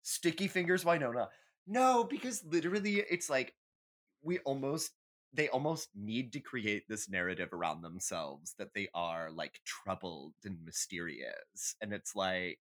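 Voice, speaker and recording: A man's voice: on the low side, lively intonation, measured pace, a bit bright. A man co-hosting a casual comedy podcast, chatting in a catty, theatrical and emphatic way with plenty of swearing. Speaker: podcast co-host.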